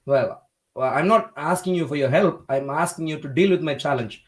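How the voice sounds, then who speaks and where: A man from India hosting a debate livestream, talking in a measured, polite way with frequent hesitations. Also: on the high side, a loud crowd. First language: not Hindi. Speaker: livestream host.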